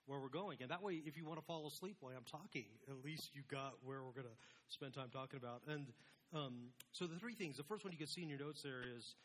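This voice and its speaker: A man's voice: medium pitch, quick pace, a bit bright. A man preaching an informal, conversational sermon as a pastor, with a casual, self-deprecating manner.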